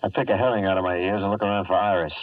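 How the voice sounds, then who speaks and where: A muffled old radio recording carries a male actor as a hard-boiled American wiseguy. He rattles along in slangy deadpan, wry and streetwise, a little distant from the mic. It is classic 1950s detective drama. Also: low voice, rapid-fire, no room sound, close to an everyday voice. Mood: wry narration. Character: wisecracking streetwise male adventurer narrating his escapade.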